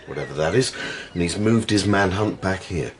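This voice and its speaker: An actor playing a grumbling, weary police detective with a blunt, sardonic manner in a radio drama. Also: male voice, low voice, lively intonation, normal speed. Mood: dry, businesslike. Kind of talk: naturalistic radio drama dialogue.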